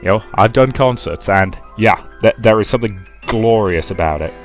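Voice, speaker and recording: Low-voiced man reading a visual novel. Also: moderate pace, faint music behind. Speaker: let's player and narrator. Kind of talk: reading a visual novel aloud with casual commentary.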